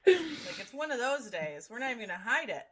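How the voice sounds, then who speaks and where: A woman's voice: fairly high pitch, big pitch swings, fairly quick, crowd noise. A woman co-hosting a sober-living podcast, joking and laughing with a light Midwestern accent that has a Canadian tinge. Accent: Midwestern American with a Canadian tinge. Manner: joking, laughs often, swears casually. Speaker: podcast co-host. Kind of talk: casual chat.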